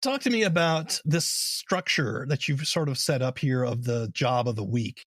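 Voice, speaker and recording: A man's voice: medium pitch, normal speed, a bit bright, dry recording, studio quality. A man hosting an interview podcast, speaking in an articulate, analytical way as he sums up and comments. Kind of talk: conversational interview with prepared summaries.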